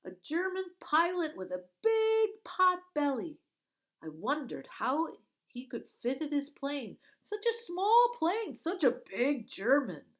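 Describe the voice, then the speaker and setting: A woman's voice: on the high side, very animated, voice pushed up. A woman reading aloud, voicing a clever young girl who bluffs her way past soldiers with a rush of talk. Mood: chattering. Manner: chattering, spilling words out fast. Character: quick-talking clever peasant girl, bluffing.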